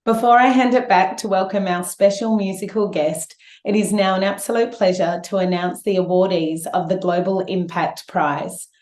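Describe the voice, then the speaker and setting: A woman's voice: lowish voice, darkish tone. Woman, awards presenter, polished, upbeat, scripted, roomy sound. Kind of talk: scripted announcing.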